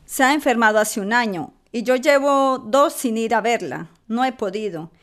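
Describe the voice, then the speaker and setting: Woman acting a distraught woman, high voice. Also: moderate pace, a bit bright, close to an everyday voice. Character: distraught woman far from her sick mother, facing eviction. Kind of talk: amateur radio drama acting.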